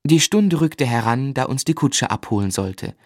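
A man's voice: mid-range voice, animated, normal speed, darkish tone, dry recording, studio quality, lightly acted. An actor playing a tender, dreamy young man in love who narrates his life lyrically and reflectively in a literary radio play. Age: young adult.

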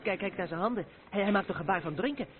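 An actor playing an eager, curious and anxious young lorry driver's mate in a radio drama. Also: male voice, high-pitched, lively intonation, quick pace. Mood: puzzled, excited. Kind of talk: Dutch radio drama acting. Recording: muffled narrow-band old radio play, noisy.

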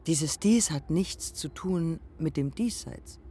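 An actress playing a proud, embittered dead woman aviator in a poetic radio play, speaking in terse, measured fragments. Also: sits low, expressive, dark tone, slight background noise. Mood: wistful, insistent. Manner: measured, terse, fragmented phrasing with deliberate pauses.

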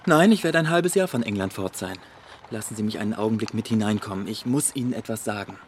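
An actor playing an earnest, morally concerned gentleman painter in a radio drama, with an urgent, formal way of speaking to his friend. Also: lowish voice, fast talker, slight background noise, close to an everyday voice. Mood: friendly, eager.

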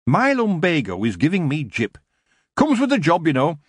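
A man voicing a fussy, haggling male dwarf broom maker in a storybook reading, with a husky voice. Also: fairly high pitch, huge pitch swings, moderate pace, no echo, clean studio sound, voice pushed up, exaggerated voice. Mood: wheedling. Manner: haggling, complaining.

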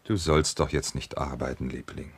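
A deep-voiced husband in a radio crime drama. He talks smoothly and evasively, with money and plotting underneath. The voice stays low. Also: fairly even intonation, moderate pace. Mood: soothing, evasive.

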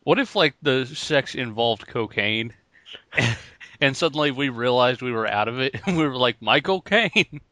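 A man's voice: medium pitch, animated, unhurried, bright, forceful tone, dry recording. A man co-hosting a podcast in casual joking banter, laughing often. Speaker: podcast co-host. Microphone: podcast mic.

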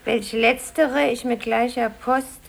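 A woman's voice: a little high, steady tone, slow pace, creaky, heavily acted. A woman acting an impatient, snippy office stenographer in a 1950s German radio play, curt and grumbling. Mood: reciting, halting. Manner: curt, grumbling, recites dictation mechanically. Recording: noisy 1950s radio recording.

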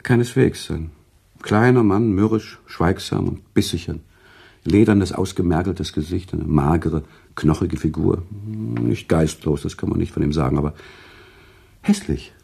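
Worldly emotional storyteller for radio drama, husky and mellow, pauses for effect. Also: male voice, heavily acted. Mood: emphatic. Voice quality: husky, gravelly low voice. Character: sentimental, worldly male storyteller, emotional and teasing. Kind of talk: German radio drama acting, conversational storytelling.

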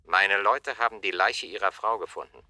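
An actor playing a manipulative, domineering police chief in a German radio drama, speaking in an insistent, measured and cutting way. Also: male voice, fairly low pitch, sharp tone, no room sound. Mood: urgent, commanding. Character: cold, coercive male police chief, manipulative.

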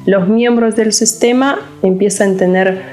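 Lithuanian-accented woman, halting speech. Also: medium pitch, steady tone, darkish tone, faint music behind. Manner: halting, searching for words, frequent restarts. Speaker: psychologist and interviewee. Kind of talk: casual interview talk.

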